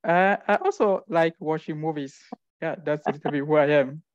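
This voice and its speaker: A young man from Cameroon introducing himself and his research informally, haltingly and with repeated words. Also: a little high, dry recording. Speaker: physics research fellow introducing themself. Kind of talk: informal self-introduction at an online event. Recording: dull, lo-fi call audio.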